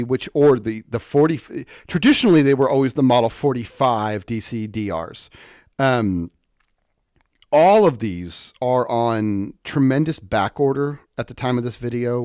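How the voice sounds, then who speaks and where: A man's voice: mid-range voice, a little mellow, no room sound, clean studio sound. A man presenting an unscripted technical tutorial in an informal way, thinking aloud and correcting himself as he explains.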